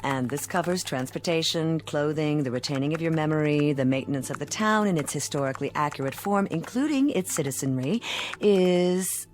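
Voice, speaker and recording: An actress playing a suave devil posing as a travel agent in 1960s television drama, speaking with poised, formal precision and cool teasing. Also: female voice, deep voice, expressive, brisk, a little mellow. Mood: businesslike, coolly amused. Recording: old TV soundtrack with background music.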